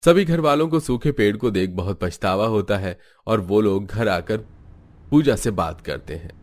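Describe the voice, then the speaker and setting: A man's voice: on the low side, expressive, moderate pace, a little mellow. A man narrating a scripted cartoon family story.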